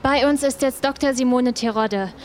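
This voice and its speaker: An actress playing a brisk, factual reporter on location in a radio drama. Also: female voice, high voice, darkish tone, natural voice. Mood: factual, inquiring. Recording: faint noise and crowd bustle.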